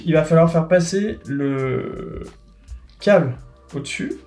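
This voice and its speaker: A man's voice: on the high side, slow, a little mellow, faint music behind. A man hosting a casual do-it-yourself tutorial, explaining each step plainly and joking with his co-host. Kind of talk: casual DIY tutorial with banter.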